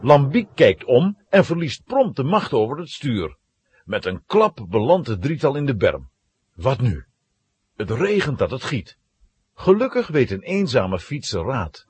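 A man's voice: mid-range voice, big pitch swings, unhurried, a little mellow, husky. A man narrating a children's audio drama as a dramatic storyteller.

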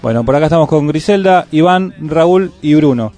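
A fairly high-voiced Argentine man interviewing guests on local radio. He jokes and moves along easily. Faint music plays under him. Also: lively intonation, normal speed, mellow. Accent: Argentine Spanish. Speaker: radio host.

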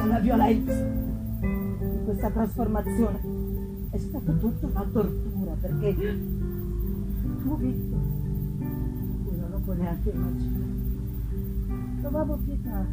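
Woman doing a grieving monster, anguished and theatrical, high voice, loud plucked guitar underneath. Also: low-quality recording. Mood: tortured pity. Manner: anguished, slow emphatic repetitions. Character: tormented, remorseful male monster grieving his creator. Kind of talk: dramatic theatrical monologue reading. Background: live guitar music.

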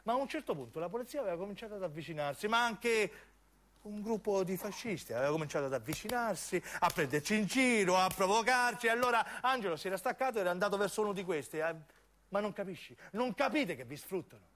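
High-pitched southern Italian man, dramatic storyteller. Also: expressive, quick pace, fairly bright tone. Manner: emphatic, incantatory repetition, acting out the characters as he tells. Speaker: narrating actor. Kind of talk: theatrical dramatic monologue storytelling for radio.

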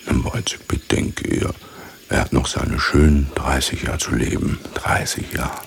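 An actor playing a fussy, pompous superior in a radio drama, creaky-voiced and pedantically lecturing an underling. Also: male voice, very deep voice, fairly even intonation, measured pace, slight background noise, full character voice. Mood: condescending, fretful.

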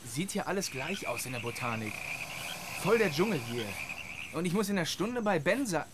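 A man's voice: fairly high pitch, very animated, moderate pace, background noise. An actor playing a young man lost in the marshes who talks on the phone in agitated slang, in a radio drama.

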